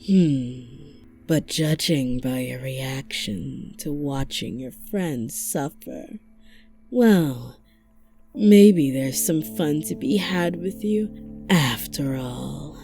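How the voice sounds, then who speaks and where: A woman voice acting a haughty, menacing empress who speaks in a measured, taunting way for an ASMR roleplay. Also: low voice, expressive, creaky, mellow, faint music behind, heavily acted. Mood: cold, taunting. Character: menacing, imperious empress commanding a war fleet.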